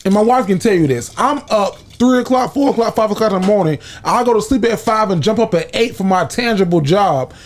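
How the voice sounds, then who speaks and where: Young host, high voice, rambling, restarts a lot. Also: male voice, brisk, hardly any pauses. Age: 20s. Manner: rambling, restarts often, laughs easily. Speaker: podcast host. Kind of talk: casual podcast chat.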